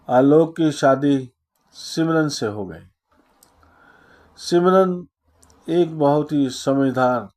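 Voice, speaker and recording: Male narrator for moral tales: very unhurried, soft-edged and mellow, solemn, pausing often between phrases. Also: medium pitch. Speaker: storyteller. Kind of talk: scripted moral story narration.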